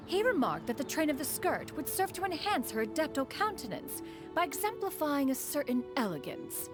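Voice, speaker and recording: Proud female immortal, higher voice, animated intonation, formal archaic phrasing, imperious, fussy, game character. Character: proud, fussy female immortal master speaking loftily of herself as "one". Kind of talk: video game voice acting.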